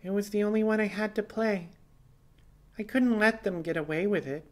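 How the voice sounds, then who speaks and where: A man's voice: high-pitched, normal speed, voice pushed up, natural voice. A man voicing a defiant, earnest young female hacker on the run in an audiobook, explaining herself with wry asides. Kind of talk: audiobook character dialogue.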